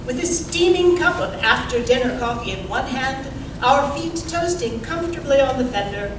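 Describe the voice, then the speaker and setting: A woman's voice: high-pitched, expressive, moderate pace. A woman playing a folksy hostess and announcer in old-time radio style, setting the scene in a cozy, salesy way. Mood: cozy, expectant. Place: museum live performance space. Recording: echoey room, distant mic, clear noise.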